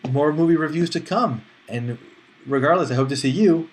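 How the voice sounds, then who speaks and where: A man's voice: a little high, moderate pace. A man giving a casual spoken film review as a movie critic, talking conversationally and acting out his imagined reactions.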